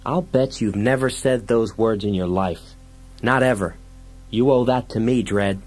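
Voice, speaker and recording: A man voicing an excitable, whiny wisecracking crook in an audiobook, complaining and stammering, aggrieved and panicky. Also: fairly low pitch, unhurried, soft background music, low-quality recording. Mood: indignant. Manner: motor-mouthed, complaining, stammering. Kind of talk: dramatised audiobook science-fiction dialogue.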